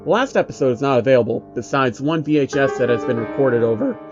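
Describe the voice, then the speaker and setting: Male narrator, flat measured reading, horror story, dull lo-fi sound, faint music. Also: medium pitch. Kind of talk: scripted creepypasta narration. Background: faint uneasy piano music.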